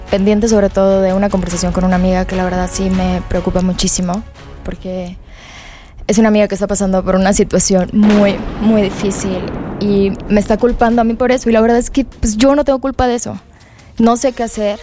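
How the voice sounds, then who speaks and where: A woman's voice: mid-range voice, warm tone. A woman from Mexico, an actress, chatting expressively as a guest on a show. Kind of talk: casual chat.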